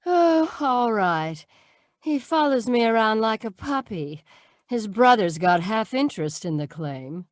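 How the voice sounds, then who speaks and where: A woman's voice: a little high, very animated, unhurried, no echo. A woman playing a selfish, scheming cafe singer with expensive tastes, world-weary, in old-time radio drama style. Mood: scornful, cynical.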